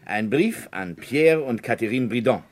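A man's voice: medium pitch, expressive, a little slow, lightly acted. A man playing a formal, self-possessed and condescending small-town lawyer in a radio crime drama. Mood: indignant, controlled.

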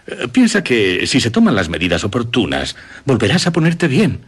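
An actor playing a worried, protective middle-aged father in a radio drama, with a husky voice, Castilian Spanish accent and halting delivery. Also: medium pitch, wildly swooping pitch, heavily acted. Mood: soothing, evasive. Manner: halting, soothing but evasive.

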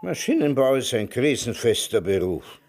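An actor in a radio drama playing an old, retired emigrant veteran who speaks in a measured, wry, reminiscing way. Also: male voice, mid-range voice, big pitch swings, a little mellow, no echo. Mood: dry, wry. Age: older. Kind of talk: German radio drama acting.

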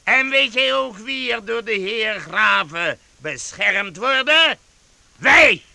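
An actor playing a boisterous, mocking one-eyed vagabond chief with a gravelly voice and pompous, theatrical delivery in a radio play. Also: male voice, high voice, animated, slow, pushed and bright, dry recording, full character voice. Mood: taunting. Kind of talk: Dutch radio play acting.

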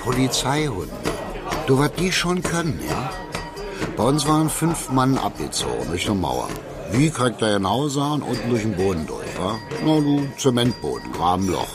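An actor playing a boastful, chummy small-time crook with a Berlin accent in a radio drama, colloquial and swaggering. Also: male voice, medium pitch, sing-song, normal speed, lightly acted. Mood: boastful storytelling. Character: boastful, chummy Berlin crook spinning tales and hawking goods. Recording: music behind the dialogue.

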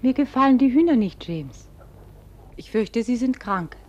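Anxious, steadfast farmer's wife. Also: female voice, a little high, lively intonation, unhurried, darkish tone, lo-fi sound. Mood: worried. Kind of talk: 1950s German radio play acting.

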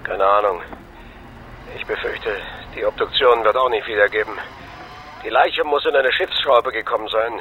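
A man's voice: medium pitch, normal speed, long pauses, muffled recording, natural voice. An actor playing a sober, cautious ex-policeman and loyal friend in a radio drama, speaking in a dry, matter-of-fact way. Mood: grave. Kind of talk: naturalistic German radio drama dialogue.

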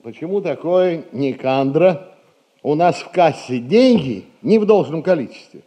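An actor playing a pompous, dissolute middle-aged merchant in a Russian stage comedy, speaking in florid, sighing phrases. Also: male voice, fairly high pitch, animated, unhurried, dark tone. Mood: pompous, demanding. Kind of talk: 1960s Russian stage comedy acting for audio.

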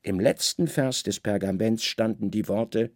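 A man narrating a literary radio drama in a hushed, deliberate, dramatic way. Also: lowish voice, dry recording, clean studio sound.

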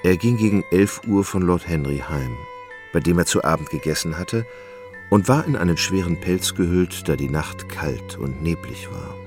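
A man narrates a literary radio drama in a very low voice with a gravelly creak. The delivery is scripted storytelling, with music behind it.

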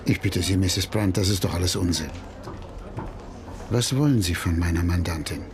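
An actor playing a shrewd, sceptical defence lawyer in a radio crime drama, dismissive and probing. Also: male voice, low-pitched, moderate pace.

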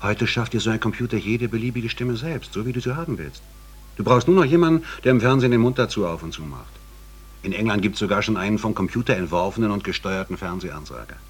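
An actor playing a slick, boastful sound technician in conversational radio drama dialogue. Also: male voice, lowish voice, a little hiss or hum, close to an everyday voice. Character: slick, boastful male sound technician showing off his machine.

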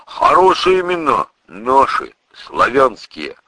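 A man voicing a burly bearded priest with a criminal past, folksy, gruff and wry, in an audiobook story. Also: medium pitch, wildly swooping pitch, slow pace, sharp tone, no room sound, heavily acted, rough recording. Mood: wry, teasing. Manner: colloquial, slangy, teasing.

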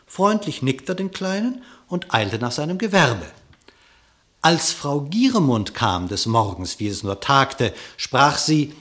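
A man narrating a verse fable in an expressive, theatrical, declamatory storytelling manner. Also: mid-range voice, unhurried.

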